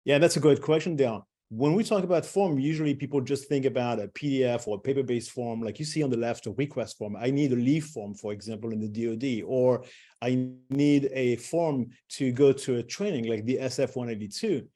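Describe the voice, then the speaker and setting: Middle-aged man, webinar presenter, mellow voice, loose delivery with fillers, even pitch. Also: fairly quick. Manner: loose, with many fillers and restarts.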